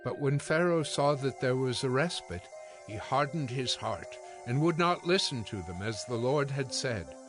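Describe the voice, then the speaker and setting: A man's voice: medium pitch, animated, normal speed. A man narrating scripture from a script as part of a dramatized reading. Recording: music bed behind the voice. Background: music.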